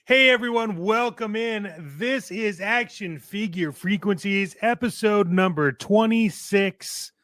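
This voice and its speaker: A man's voice: sits high, takes it slowly, few pauses, fairly bright tone, no room sound, clean studio sound. A man hosting a podcast in a casual, chatty style with a playful showman's manner. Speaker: podcast host. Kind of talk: casual podcast chat with sponsor reads.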